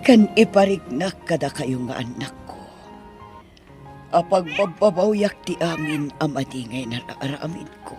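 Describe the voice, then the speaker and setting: A woman's voice: deep voice, animated, unhurried, a little mellow. A woman with an Ilocano accent playing a remorseful older mother pleading with her grown son in an audio drama. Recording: music behind the voice.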